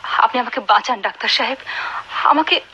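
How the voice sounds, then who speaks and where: An actress with a Bangladeshi accent playing a desperate, wealthy wife who longs for a child, imploring and insistent in radio drama style. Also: female voice, on the high side, normal speed, sharp tone. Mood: desperate pleading. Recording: dull, noisy old radio recording.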